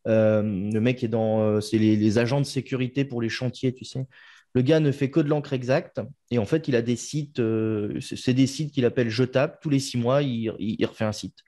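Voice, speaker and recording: Male podcast host, laid-back conversational delivery, warm low timbre, casual interview. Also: normal speed. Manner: relaxed, chatty, trails off with "quoi".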